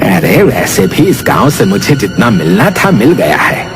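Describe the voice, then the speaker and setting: A man's voice: lowish voice, wildly swooping pitch, music in the background. A man voicing a greedy, oily village shopkeeper in a cartoon, fawning and wheedling in an exaggerated manner. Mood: smug, scheming. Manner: fawning, wheedling, drawn-out pleading.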